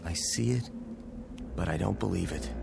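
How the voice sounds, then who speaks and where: An actor playing a level-headed husband in a vintage radio drama, talking in a calm, dry, reassuring way. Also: male voice, low voice, moderate pace, creaky, over music. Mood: wry. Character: level-headed husband exploring an eerie empty town.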